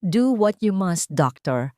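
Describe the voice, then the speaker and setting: Woman as protective father, curt, cold delivery, challenging, lowish pitch, audio drama, dry sound. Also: lively intonation, slow pace, darkish tone, dry recording. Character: terse, cold, protective father standing his ground.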